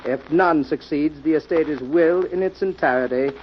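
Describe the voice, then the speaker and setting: Formal actor as radio lawyer, muffled recording. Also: male voice, a little high, close to an everyday voice. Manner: polite, formal, measured. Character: courteous, formal male family lawyer. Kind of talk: 1940s radio mystery acting. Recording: dull, noisy old radio recording.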